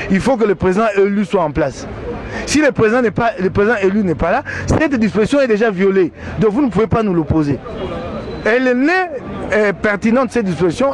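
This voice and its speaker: A man with a Congolese French accent making a firm political argument in an interview. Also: high voice. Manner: insistent, argumentative. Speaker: political guest.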